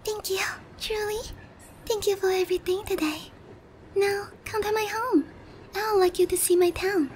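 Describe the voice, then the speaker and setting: A woman's voice: very high voice, fairly even intonation, normal speed, a little mellow, slight background noise. A woman playing a naive, sheltered young harpy noblewoman in a roleplay ASMR, polite, hesitant and earnest in a girlish way. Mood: shy, happy.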